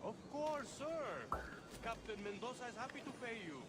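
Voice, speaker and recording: A fawning go-between, high voice. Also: male voice, very animated, heavy background noise. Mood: eager. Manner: obsequious, deferential, halting. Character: eager Spanish-speaking dockside go-between man. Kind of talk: video game cutscene acting. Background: loud music.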